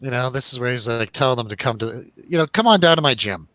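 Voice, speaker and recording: A man with a medium-pitched voice talks casually as a review show host. His delivery is informal, jokey and full of slang. The sound is dry and a little muffled. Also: expressive, fast talker, fairly bright tone, no room sound. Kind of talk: casual chat, film review over drinks.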